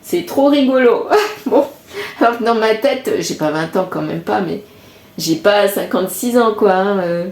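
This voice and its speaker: A woman's voice: medium pitch, lively intonation, a little slow, darkish tone. Chatty woman in her fifties. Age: 50s. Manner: chatty, rambling, with self-corrections. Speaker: card reader. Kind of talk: casual tarot reading monologue. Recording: echoey room.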